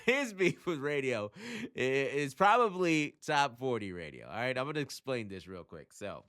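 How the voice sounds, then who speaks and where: A man hosting his own channel, talking casually and emphatically as he explains things, often throwing in rhetorical questions and quick check-ins. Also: mid-range voice, sing-song, fairly bright tone. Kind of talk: casual explainer talk to camera.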